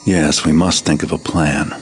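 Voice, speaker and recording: A man's voice: a bass voice, little pitch movement, moderate pace, slightly rough, faint music behind, heavily acted. A man playing a cynical lone master thief in a video game, with a dry, sardonic and wary delivery. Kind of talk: video game cutscene acting.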